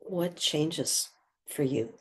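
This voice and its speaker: Slow, soothing meditation guide. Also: medium pitch, fairly bright tone, husky. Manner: soothing, measured, with long pauses. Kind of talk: guided meditation, gentle and contemplative.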